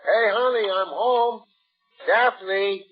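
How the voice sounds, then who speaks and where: An actor playing a puzzled, placating husband who jokes innocently in a 1950s radio comedy sketch. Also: male voice, high voice, drawn-out words, full character voice. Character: bewildered, placating wisecracking husband Joe in a comic sketch. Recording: muffled old radio broadcast, faint music.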